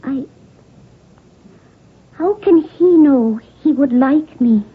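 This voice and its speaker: A woman's voice: high voice, slow. An actress playing an earnest, anxious young Irish woman in a 1970s radio drama. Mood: joyful, shy. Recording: muffled 1970s radio broadcast.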